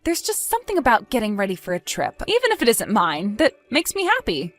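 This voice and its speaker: A woman's voice: fairly high pitch, wildly swooping pitch, brisk, a bit bright, soft background music. A woman playing a polite, reassuring but evasive wife in a scripted audio story, phrasing things neatly. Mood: defensive. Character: evasive, cheerful wife chatting with her husband.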